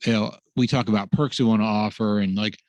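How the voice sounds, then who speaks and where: A man's voice: lowish voice, steady tone, fast talker, darkish tone, no room sound. A man hosting a casual webinar, rambling with many fillers and restarts. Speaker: host.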